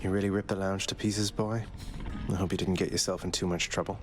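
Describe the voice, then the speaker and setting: An actor playing a cocky young underworld prince in a video game, dry, polite and nonchalant. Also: male voice, deep voice, level tone, a little fast, soft background music, close to an everyday voice. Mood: calm.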